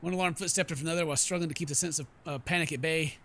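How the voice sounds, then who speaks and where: Higher-pitched male streamer reading game narration. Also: steady tone, racing, fairly bright tone, slight background noise. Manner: jokey asides, playful. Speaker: gaming streamer. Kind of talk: game playthrough, reading narration aloud with casual commentary. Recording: game music behind the voice.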